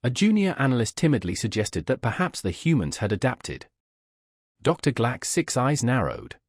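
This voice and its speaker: Animated male sci-fi story narrator. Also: mid-range voice, normal speed, no room sound, studio quality. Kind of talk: scripted science fiction narration, wry.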